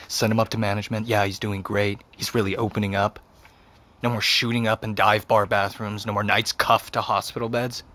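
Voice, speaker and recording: A man's voice: on the low side, fairly bright tone. A man playing a defensive, sardonic seventeen-year-old boy with an American accent in a naturalistic audio drama. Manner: dry, defensive. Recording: clear background ambient noise.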